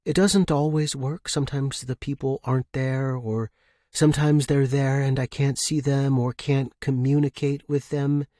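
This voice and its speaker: A man voicing a gentle, reluctant young woman in an audiobook, soft-spoken and hesitant. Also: medium pitch, level tone, normal speed, no room sound, clean studio sound, pitched above the performer's own voice, close to an everyday voice. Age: young adult. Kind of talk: audiobook character reading.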